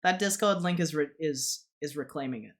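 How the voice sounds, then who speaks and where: A young Australian streamer chats loosely on a live stream, with an Australian accent. The voice sits at a middling pitch. They ramble, restart sentences and laugh often. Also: moderate pace. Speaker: streamer, channel host. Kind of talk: casual stream chat.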